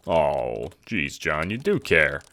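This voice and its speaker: A man voicing a sarcastic, defensive teenage boy who talks in slangy, sweary deadpan. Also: very deep voice, slow pace, vocal fry, noisy background, exaggerated voice. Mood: wry, guarded.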